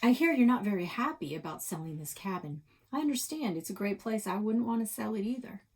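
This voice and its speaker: A woman's voice: medium pitch, expressive, a little fast, darkish tone, husky, far from the mic, voice pushed up. A woman voicing an affable, persuasive grown man who sells houses, in a children's storybook. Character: smooth, friendly adult male realtor.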